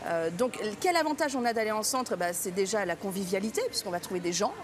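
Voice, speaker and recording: A woman's voice: mid-range voice, quick pace. A talkative, emphatic woman explaining things conversationally as a guest on a radio chat show. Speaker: studio guest presenting language training. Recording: clearly noisy background.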